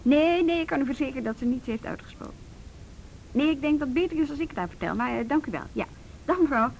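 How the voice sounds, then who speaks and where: High-voiced policewoman in a radio play. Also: lively intonation, fast, creaky, darkish tone. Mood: polite, reassuring. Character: female juvenile police officer, conscientious, plain-spoken, a little wry. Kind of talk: Dutch radio drama acting. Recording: noisy radio play recording with rumble.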